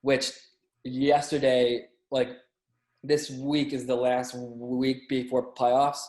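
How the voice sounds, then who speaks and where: A man chatting casually as a podcast guest, telling anecdotes in a halting way, often repeating and stumbling over words. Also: mid-range voice, level tone, darkish tone. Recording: roomy, echoey sound.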